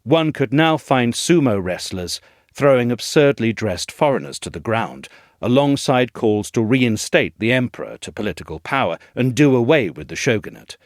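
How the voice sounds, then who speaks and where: A man reading a scripted essay as narrator, in a measured, articulate storytelling delivery, recorded close up. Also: mid-range voice, very animated, no room sound.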